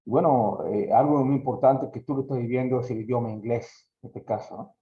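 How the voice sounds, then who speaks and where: A man's voice: mid-range voice, fairly even intonation, a little fast. A man, a professor asking a guest lecturer long, formal questions. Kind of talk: conference Q&A. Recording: echoey muffled room sound.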